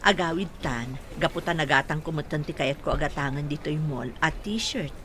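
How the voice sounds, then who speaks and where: A woman's voice: low-pitched, moderate pace, a bit bright, slight background noise. A woman playing an earnest, respectful married daughter-in-law in an Ilocano radio drama, with an Ilocano accent. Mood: friendly.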